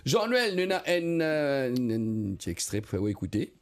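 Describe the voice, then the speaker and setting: A man's voice: mid-range voice, sing-song, moderate pace. A man with a Mauritian accent hosting a casual, chatty radio phone-in, teasing informally and repeating himself. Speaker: radio host.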